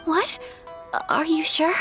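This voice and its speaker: A woman playing a gentle, shy young noblewoman in a video game, speaking with formal, earnest courtesy. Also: very high-pitched, steady tone, takes it slowly, over music. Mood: eager, delighted.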